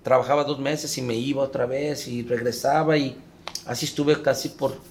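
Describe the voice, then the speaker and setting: A middle-aged man tells his story as an interview guest. He speaks slowly and with feeling, pausing and restarting. Faint room ambience hums behind him. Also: mid-range voice, steady tone, a little hiss or hum. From Mexico. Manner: reflective, halting, emotional. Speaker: guest, father.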